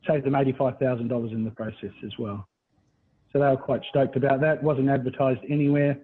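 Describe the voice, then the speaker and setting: Phone-like, husky Australian man presenting. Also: mid-range voice, fairly even intonation, a little fast. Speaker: property buyers agent presenting. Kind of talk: webinar presentation, conversational sales talk. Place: indoors on a video call. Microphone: video call. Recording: thin, phone-like video call audio.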